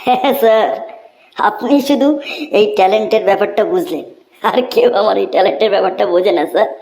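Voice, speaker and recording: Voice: mid-range voice, fast, vocal fry, a little mellow, close to an everyday voice. An actor voicing a cheeky young schoolboy with an earnest, deferential, pleading manner in a cartoon comedy. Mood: mock earnest. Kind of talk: exaggerated Bengali cartoon comedy dubbing.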